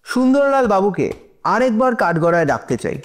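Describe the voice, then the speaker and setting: A man playing a shrewd, composed defense lawyer in an audio drama, questioning deliberately with pauses for effect. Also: high-pitched, sing-song, noisy background. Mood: probing, composed. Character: shrewd male defense lawyer cross-examining in court, probing, composed. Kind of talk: audio drama courtroom acting.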